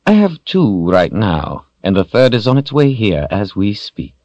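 A man voicing a smug, villainous sorcerer in an audiobook, taunting and deliberate, with theatrical mock courtesy. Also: lowish voice, big pitch swings, darkish tone, dry recording, lo-fi sound, pitched above the performer's own voice. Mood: smug menace. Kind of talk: audiobook character voice.

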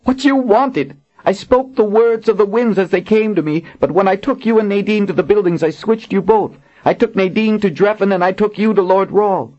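A man's voice: sits high, normal speed, few pauses, darkish tone, voice pushed up, natural voice. A man voicing a fierce, proud young warrior woman who speaks with an intense, urgent edge. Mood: pleading. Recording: dull lo-fi audiobook recording.

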